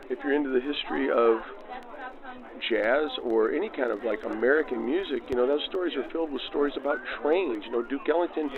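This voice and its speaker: Narrow, tinny old-radio sound with plenty of people audible behind. A man with a medium-pitched voice talks casually as an interviewed musician, rambling along in easy slang. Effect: backdated 1940s radio filter. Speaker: jazz band musician, interviewee. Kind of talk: casual, spontaneous chat. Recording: tinny radio sound, busy crowd around.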